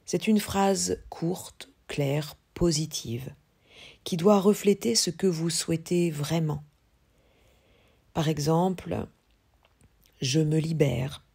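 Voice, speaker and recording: Female meditation guide: very unhurried, slightly breathy husky voice, calm instructions, long pauses. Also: sits low. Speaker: yoga teacher. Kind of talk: guided yoga nidra meditation.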